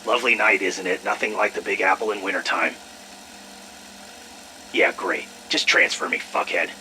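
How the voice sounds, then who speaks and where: An actor playing an irritable, slippery scientist, sarcastic and foul-mouthed, in a video game audio log. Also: male voice, low-pitched, normal speed, sharp tone, a little hiss or hum, rough recording. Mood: irritated. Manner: sarcastic, blustering, swearing.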